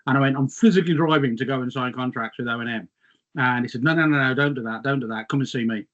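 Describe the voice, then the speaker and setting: A man's voice: mid-range voice, fast talker, a bit bright, dull audio. A middle-aged English man, a guest, slightly losing his voice, reminiscing in a rambling, self-mocking way. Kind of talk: casual reminiscing chat.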